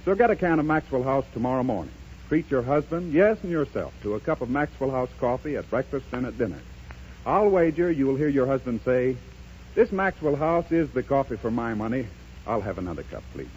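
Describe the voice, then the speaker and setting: A man's voice: medium pitch, animated, a little fast, a little mellow, a little hiss or hum. A man reading a 1930s radio commercial as announcer, with a persuasive, emphatic salesman's delivery. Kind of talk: 1930s radio advertising read. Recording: muffled 1930s radio broadcast.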